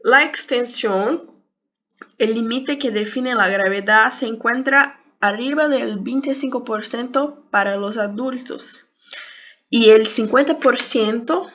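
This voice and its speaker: A woman's voice: on the high side, takes it slowly, fairly bright tone. A young woman with a Brazilian Portuguese accent, a native Portuguese speaker giving a casual video lesson in a second language, hesitant and searching for words. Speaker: health sciences YouTuber. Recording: dull lo-fi sound.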